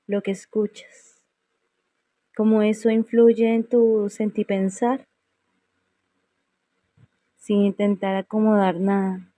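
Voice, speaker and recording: A woman narrates a guided meditation at a very slow pace. Her tone is mellow and relaxed, and she leaves serene pauses between phrases. The sound is dry, with little room on it. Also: medium pitch, no echo. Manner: unhurried, serene, with long pauses.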